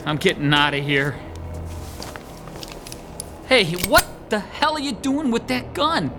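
A man's voice: high-pitched, very animated, slow, over music, full character voice. An actor playing a slangy, grumbling, middle-aged male New York lackey in an audio horror drama. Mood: nervous.

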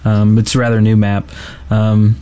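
A man's voice: lowish voice, monotone, a little slow, warm tone, clear, resonant tone. A man co-hosting a casual gaming podcast, talking in a hesitant, rambling way with trailing thoughts. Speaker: podcast co-host.

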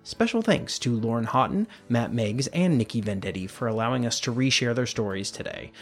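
A male podcast host with a mid-pitched voice reads scripted links and credits. Soft guitar music plays behind him. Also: lively intonation, a little fast. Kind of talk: scripted podcast introduction and outro. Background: music bed with guitar.

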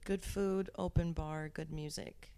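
A young woman co-hosting a podcast in a relaxed, casual way, adding short comments and corrections. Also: sits low, measured pace, vocal fry, smooth. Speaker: podcast co-host. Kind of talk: casual chat.

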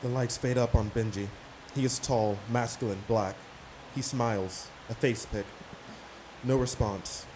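A man narrating stage directions for a radio play in measured, declarative sentences. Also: medium pitch, fairly even intonation, natural voice. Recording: some background noise.

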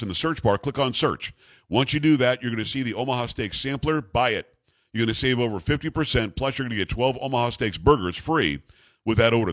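A man's voice: medium pitch, no echo. Blunt talk radio host, a man. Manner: blunt, emphatic, teasing. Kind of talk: talk radio with live ad reads. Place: radio studio.

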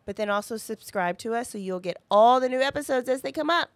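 A woman's voice: fairly high pitch, expressive, quick pace, no room sound. A woman chatting informally and casually as a podcast co-host. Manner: chatty, informal, uses y'all.

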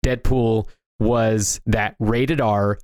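A man's voice: lowish voice, fairly even intonation, drawn-out words, a little mellow, clean tone, no room sound, clean studio sound. A man co-hosting a casual discussion, rambling and often restarting his thoughts as he goes. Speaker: podcast co-host. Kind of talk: casual discussion of movies and games.

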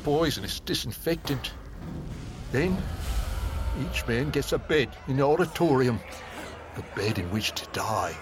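An actor playing a very old English man with a London accent, telling his life story in a measured, theatrical, grave way for an audio drama. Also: mid-range voice, animated, noisy background, natural voice. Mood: grave, reminiscing. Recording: music behind the voice.

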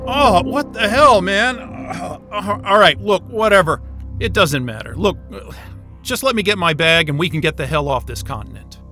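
Trapped male scientist, audio drama, male actor: agitated, foul-mouthed, forceful high voice, music underneath. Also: lively intonation, normal speed, exaggerated voice. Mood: weary, pleading. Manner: agitated, profane, combative. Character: hot-tempered, sarcastic male astrophysicist trapped in a remote station.

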